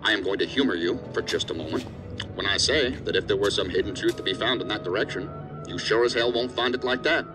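Man playing a fast-talking, hard-bitten agency boss. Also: fairly low pitch, music in the background, lightly acted. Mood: scolding. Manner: stern, profane, scolding. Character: angry, hard-bitten male assistant director of investigative agency. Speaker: actor in audio drama. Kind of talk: dramatic audio drama acting.

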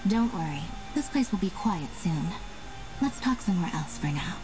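A woman voicing a composed, enigmatic young female magician's assistant in a video game, speaking calmly and deliberately. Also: on the low side, natural voice, rough recording. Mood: quiet, assured. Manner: calm, deliberate, cryptic. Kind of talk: video game dialogue acting. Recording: game dialogue under music.

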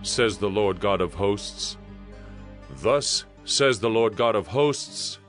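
A man's voice: on the low side, slow, a bit bright, faint music behind. A man giving a solemn, declamatory dramatized narration of scripture. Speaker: audio Bible narrator.

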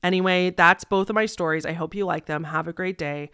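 A young woman hosting a story-reading show, speaking in a conversational, expressive way into a close desk microphone. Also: on the low side, fairly quick, clear, resonant tone, no room sound. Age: young adult. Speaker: host reading listener-submitted stories. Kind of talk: reading stories aloud with casual commentary. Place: small decorated indoor room.

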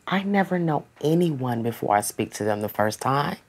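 A woman's voice: extremely low pitch, lively intonation, moderate pace, breathy edge, exaggerated voice. An actress playing a direct, encouraging woman who draws people out, in a naturalistic film drama manner. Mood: friendly, probing. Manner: direct, probing, reassuring. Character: direct, encouraging woman drawing out a troubled stranger.

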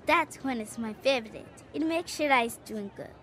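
A woman's voice: a little high, moderate pace, a little hiss or hum, natural voice. An actress playing a bold young girl street vendor in a video game, bargaining in a childlike way. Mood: eager, bargaining. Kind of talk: video game cinematic acting.

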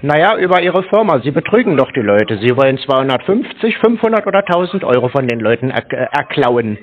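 A man's voice: medium pitch, big pitch swings, brisk. A man on a conversational phone call, sounding naive and asking insistent questions. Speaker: prank caller to scam call centres. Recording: slightly dull lo-fi call audio.

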